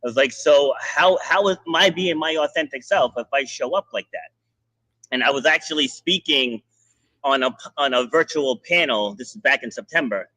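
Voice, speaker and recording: Bright, husky-voiced male podcast host. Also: mid-range voice, moderate pace, no echo, rough recording. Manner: animated storytelling, many restarts. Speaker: podcast host, fitness coach. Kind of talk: casual interview chat.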